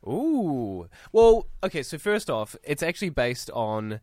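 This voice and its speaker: Male radio co-host, New Zealand accent, lively giggly delivery, close dry studio mic. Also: on the high side, measured pace, no echo. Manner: giggly, chatty, lots of fillers. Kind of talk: casual on-air banter. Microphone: studio microphone.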